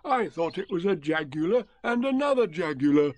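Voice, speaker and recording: A man voicing a naive, placid toy bear who speaks slowly and matter-of-factly in a storybook reading. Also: sits high, sing-song, voice pushed up. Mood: knowing, placid.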